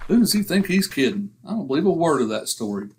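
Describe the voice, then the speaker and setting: A man's voice: on the high side, very animated, quick pace, slight background noise. A man playing a blustery, boastful middle-aged husband with folksy, slangy grumbling in an old-time radio comedy.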